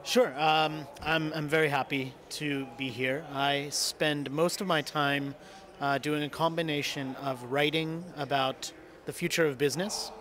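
A man's voice: fairly high pitch, steady tone, unhurried, fairly bright tone. Man speaking evenly on a panel. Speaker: panel speaker, marketing author. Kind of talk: conversational self-introduction to an audience. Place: conference hall stage. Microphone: gooseneck microphone at seat.

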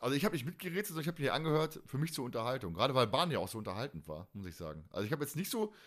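Male podcast host, forties, medium pitch, animated and colloquial, loose rambling chat. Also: racing. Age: 40s. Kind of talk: casual chat.

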